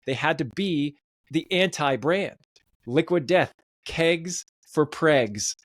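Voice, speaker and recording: A man's voice: on the high side, takes it slowly, dry recording. A man hosting a podcast, chatting casually in an emphatic, enthusiastic way. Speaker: podcast host.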